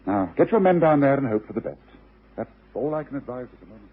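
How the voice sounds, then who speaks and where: A brisk british detective in an old radio play, raspy voice, tinny muffled sound. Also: male voice, mid-range voice, sing-song. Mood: brisk, thoughtful. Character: brisk, exacting male private detective. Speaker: lead actor in a radio drama. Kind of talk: British radio drama acting.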